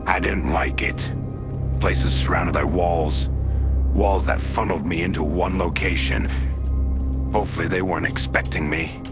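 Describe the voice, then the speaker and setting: A man's voice: low-pitched, steady tone, a little slow. A man playing a weary, world-worn mercenary, narrating a grim survival log in scripted first-person noir style. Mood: wary. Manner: gritty, brooding, world-weary, swears. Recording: voice over loud game music.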